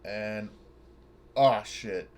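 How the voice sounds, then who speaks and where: A man's voice: on the low side, drawn-out words. A man playing a tabletop game, talking casually and bluntly as he works out his moves. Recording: clear background noise.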